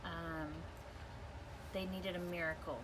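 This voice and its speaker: A woman's voice: lowish voice, level tone, slow. A woman reading a children's story aloud expressively, as a teacher does for students. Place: inside an RV parked in a backyard. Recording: clear ambient noise.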